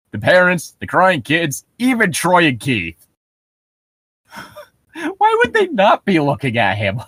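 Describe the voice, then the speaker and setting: A man's voice: fairly high pitch, dry recording, clean studio sound. A man co-hosting a comedy podcast, casually reading aloud and riffing with incredulous asides. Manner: animated, quick to laugh, mock-incredulous asides. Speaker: podcast co-host.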